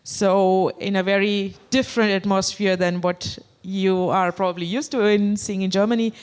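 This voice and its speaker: A woman's voice: medium pitch, fairly even intonation, normal speed, darkish tone, clean tone. A woman with an Indian accent giving a conference talk to an audience, hesitant, with many fillers and questions to the room. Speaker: conference speaker. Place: conference hall.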